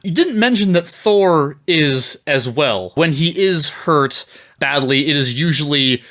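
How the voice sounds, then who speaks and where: A man's voice: fairly high pitch, animated, unhurried, a bit bright. A man debating casually on a comedy podcast, making his case in a conversational, argumentative way.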